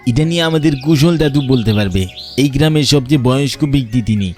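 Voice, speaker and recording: A man voicing an anxious, curious young villager in a cartoon, asking in a halting, pleading way. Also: medium pitch, expressive, darkish tone, natural voice. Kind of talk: Bengali cartoon story dialogue. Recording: music behind the voice.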